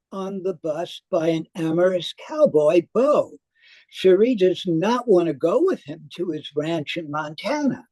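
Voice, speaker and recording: A woman theater critic reading a prepared review aloud in a measured, deliberate manner. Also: on the low side, dark tone, breathy edge, no echo, poor audio. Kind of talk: scripted review read aloud.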